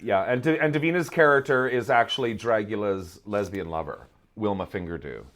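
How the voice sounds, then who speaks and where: A man with a low-pitched voice chats as a theatre guest on a podcast. He is wry and wanders off on asides, reworking his sentences as he talks. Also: fairly quick, fairly bright tone. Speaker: playwright and performer, podcast guest. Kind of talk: casual interview chat.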